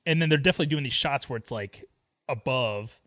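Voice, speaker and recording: A man's voice: medium pitch, quick pace, a bit bright. A man co-hosting a film podcast, chatting casually in a jokey, giggly manner. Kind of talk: casual chat about a film.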